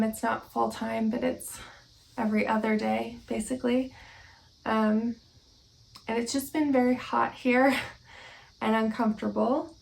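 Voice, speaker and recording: A young woman from Oklahoma hosting a casual knitting vlog at home, relaxed and slightly scratchy from allergies, with lots of ums and soft laughs. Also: fairly high pitch, creaky. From Oklahoma, United States. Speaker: knitting podcast host. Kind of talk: casual chat to camera. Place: at home, indoors.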